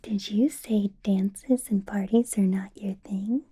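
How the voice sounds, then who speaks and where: A woman playing a possessive, doting vampire boss in an ASMR roleplay, in a breathy whisper with a deliberate, coaxing, playfully menacing manner. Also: mid-range voice, fairly even intonation, warm tone. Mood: softly menacing. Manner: slow, deliberate, coaxing, teasing.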